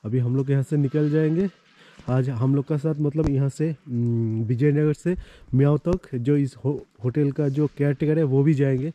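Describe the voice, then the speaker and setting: A man's voice: mid-range voice, fairly even intonation, moderate pace, warm tone. A man narrating his motorcycle trip outdoors in a loose, chatty vlog style, full of fillers. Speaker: motorcycle travel vlogger. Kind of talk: casual travel vlog narration. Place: outdoors on mountain roads.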